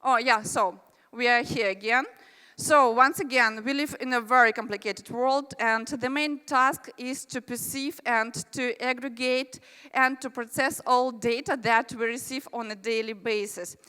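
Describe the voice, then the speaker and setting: Russian-accented woman presenter at a conference, bright, smooth and clear, echo of a large hall. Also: fairly high pitch, fairly even intonation, a little slow. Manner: friendly, chatty, with asides to the audience. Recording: reverberant hall.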